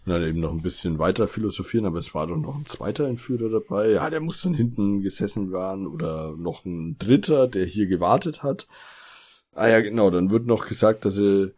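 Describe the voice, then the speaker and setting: A man speaks in a fairly low, husky voice. He is a podcast co-host chatting casually through the plot of an audio drama, paraphrasing what the characters say to each other. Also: animated, brisk, darkish tone. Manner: paraphrases characters' dialogue while retelling. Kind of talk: casual chat retelling an audio drama episode.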